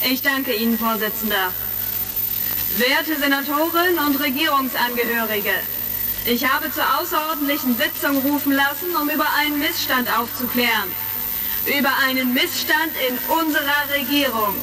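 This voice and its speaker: A woman's voice: high voice, moderate pace, bright, forceful tone. A woman playing a proud, accusing senator making formal oratory in a retro sci-fi audio drama. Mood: indignant. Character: proud, accusing, ambitious female galactic senator. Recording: crackly vintage vinyl-style recording, distant.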